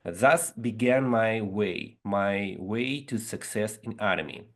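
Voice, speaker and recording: A man in his thirties whose first language is Russian, giving an earnest motivational seminar talk over a video call, measured and pausing often. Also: fairly low pitch, a bit bright. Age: 30s. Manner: earnest, measured, with frequent pauses and fillers. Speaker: seminar speaker.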